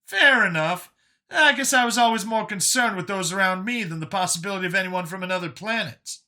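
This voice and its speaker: A man performs a skeptical backwoods veteran doctor in a story. He pitches well up and sounds sharp and bright, with a sardonic, incredulous, questioning manner. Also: fairly quick, full character voice.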